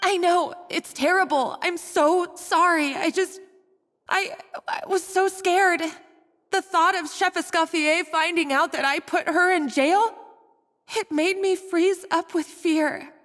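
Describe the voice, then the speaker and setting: Timid young female cook, high voice, stammering, apologetic, game character, long reverb, music behind. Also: slow, a bit bright, exaggerated voice. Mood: guilty, anxious. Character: timid, guilt-ridden young female kitchen worker. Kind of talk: English game voice acting.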